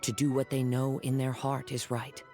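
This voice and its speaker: A man playing a gentle, principled young wandering samurai in a video game, speaking calmly and poetically over music. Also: medium pitch, moderate pace, close to an everyday voice. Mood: serene. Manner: calm, solemn, poetic. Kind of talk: scripted video game voice line. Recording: game audio with music behind.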